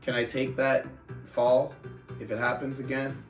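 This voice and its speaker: A man who speaks as a motivational book club host, reading aloud and coaching in an emphatic, deliberate, rhetorical manner. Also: mid-range voice, level tone, soft background music. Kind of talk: audiobook-style reading aloud and motivational talk. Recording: roomy, echoing sound.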